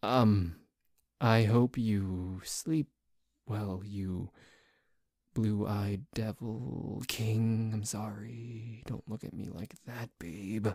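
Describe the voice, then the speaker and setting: Grumpy, dorky tsundere boyfriend, played by a man, slow and soft-toned. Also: lowish voice, steady tone, dry recording, studio quality, exaggerated voice. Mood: reluctant, pleading. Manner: grumbling, halting, with sighs. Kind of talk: ASMR boyfriend roleplay.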